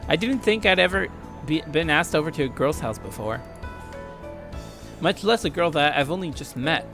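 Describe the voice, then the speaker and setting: A man's voice: fairly high pitch, lively intonation, moderate pace, over music. A young American man hosting a let's play, reading game narration with irreverent, casual commentary. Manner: irreverent, swearing.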